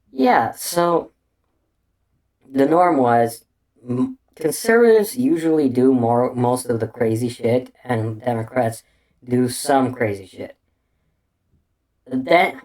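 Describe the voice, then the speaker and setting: A man with an unusual, hard-to-place accent delivers a meandering solo commentary. He talks slowly, swears freely and keeps restarting his sentences. His pitch sits in an ordinary middle range. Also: darkish tone. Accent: unusual non-native English accent. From Bulgaria. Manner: meandering, crude, full of restarts and fillers. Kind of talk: casual rambling commentary monologue.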